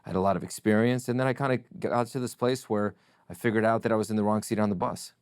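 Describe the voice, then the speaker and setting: Russian-native man, middle-aged, deep voice, dry close mic, thoughtful interview guest. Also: fairly even intonation, darkish tone, clear, resonant tone, no echo. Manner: reflective, measured storytelling. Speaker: podcast guest. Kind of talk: casual interview chat.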